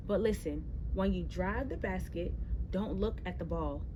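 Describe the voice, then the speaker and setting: A woman's voice: lowish voice, unhurried, noisy background, lightly acted. A woman reading the part of a teasing but supportive older brother, casual and instructive, in a storybook. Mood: coaching.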